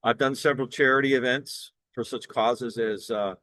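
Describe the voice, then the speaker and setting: An older man from Cleveland with a bass baritone voice, pitching his work as an event singer in an upbeat, earnest way. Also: steady tone, normal speed, a bit bright, no room sound. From Cleveland, Ohio, USA. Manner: upbeat, earnest salesman talk with small restarts. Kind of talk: promotional direct-to-camera pitch.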